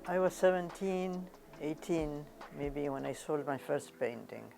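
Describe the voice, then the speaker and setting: An older woman from Argentina, a painter giving reflective interview answers, unhurried and often searching for words and repeating phrases. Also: low voice, lively intonation, gravelly, music in the background.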